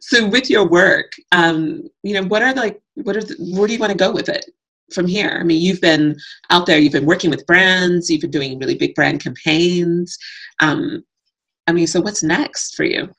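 A woman's voice: fairly low pitch, normal speed, fairly bright tone, low-quality recording. A woman hosting an interview over a video call, upbeat and affirming, with lots of fillers. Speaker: interview host.

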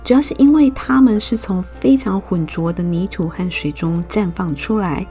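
A young woman from Taiwan, gently narrating a calm voice-over for her watercolor painting tutorial. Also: medium pitch, soft background music. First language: Mandarin. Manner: gentle, unhurried explaining. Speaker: watercolor art channel host.

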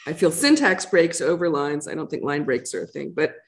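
A middle-aged woman with a slightly low voice answers questions after a poetry reading. She talks in a reflective, conversational way, working her thoughts out aloud in a lecture room. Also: sing-song, a little fast. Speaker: poet. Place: lecture room with a projection screen.

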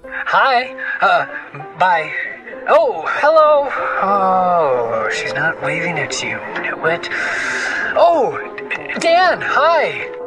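High-pitched, awkward young bookworm. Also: male voice, wildly swooping pitch, extremely slow, music in the background, exaggerated voice, low-quality recording. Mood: flustered. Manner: hesitant, nervous. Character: awkward, lovestruck bookish young man, timid and eager. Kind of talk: period radio drama acting.

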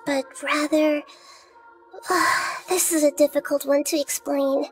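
A little goddess of wisdom with a soft, high, childlike voice. She speaks deliberately and calmly, like a thoughtful sage in a small girl's body, over faint game music. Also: poor audio. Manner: measured, philosophical, deliberate. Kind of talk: video game voice acting.

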